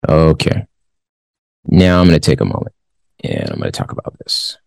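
A man in his forties from the Los Angeles area, hosting a commentary show. He reads a news story aloud, then gives his views in a measured way with many pauses. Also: very deep voice, very animated, gravelly, a little mellow, no room sound, clean studio sound. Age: 40s. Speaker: show host.

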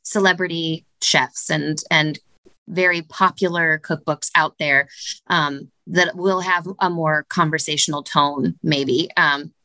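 A woman working as a studio director, answering interview questions in a measured, explanatory way with frequent "um" pauses, recorded on a studio microphone. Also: low voice, steady tone, bright, forceful tone, no echo, rough recording.

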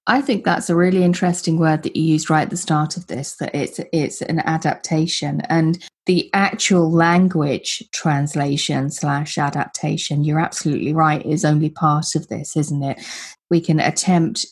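A woman's voice: sits low, fairly even intonation, moderate pace, breathy edge. A woman hosting a podcast conversation, affirming her guest and asking tag questions. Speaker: podcast host. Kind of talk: conversational interview talk.

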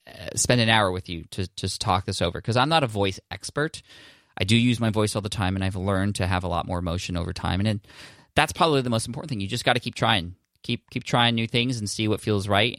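A man's voice: on the low side, a bit bright, no echo, clean studio sound. A man hosting a podcast, talking casually and encouragingly, often restarting his phrases. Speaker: podcast host. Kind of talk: casual advice monologue. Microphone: podcast microphone, standing.

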